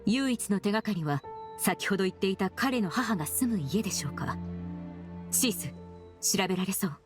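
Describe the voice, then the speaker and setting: A woman playing a composed, polite young investigator in a video game, formal, measured and analytical, used to giving orders. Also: medium pitch, lively intonation, over music, natural voice. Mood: commanding, calm. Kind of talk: Japanese game voice acting.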